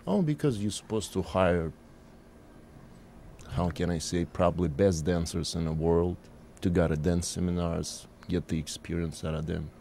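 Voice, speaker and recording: A man's voice: sits low, moderate pace, gravelly, mellow, a little hiss or hum. A man in his forties from Kazakhstan, a dance studio owner who gives short, hesitant answers in as a guest in a TV studio. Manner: short hesitant answers in non-native English. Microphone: desk mic.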